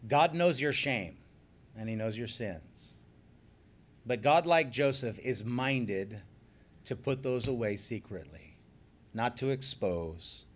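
A man preaching a sermon, earnest and emphatic, slowing down on key phrases and then leading a closing prayer. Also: medium pitch, lively intonation. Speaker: pastor.

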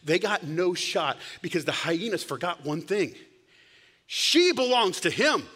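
Male preacher, brightish higher voice, storyteller who swings loud to whisper; long hall reverb. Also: animated, measured pace, husky. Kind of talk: sermon.

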